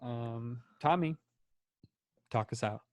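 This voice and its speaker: A man's voice: mid-range voice, slow, no room sound. A young man co-hosting a podcast, chatting casually and joking. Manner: laid-back, jokey. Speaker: podcast co-host. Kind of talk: casual chat.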